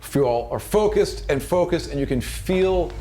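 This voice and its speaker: A man's voice: on the high side, expressive, normal speed, mellow. A man narrating a tabletop fantasy game as game master in an informal, casual way. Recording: noisy room recording.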